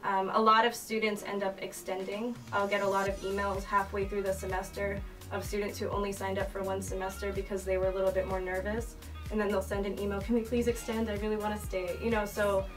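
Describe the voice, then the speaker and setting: A woman's voice: medium pitch, flat delivery, fairly quick. A young woman from Massachusetts, an office manager, explaining study abroad in an earnest interview manner with occasional fillers. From Massachusetts, USA. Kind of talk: documentary interview. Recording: distant mic with music underneath.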